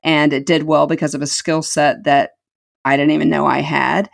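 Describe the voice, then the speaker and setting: Earnest middle-aged woman with a gravelly edge. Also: low-pitched, flat delivery, normal speed. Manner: earnest, reflective, repeats words for emphasis. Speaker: interview guest. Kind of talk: personal life story in an interview.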